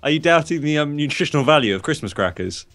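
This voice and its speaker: Young man, dry teasing remarks, animated pitch, casual game chat. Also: fast talker, no room sound. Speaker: game player.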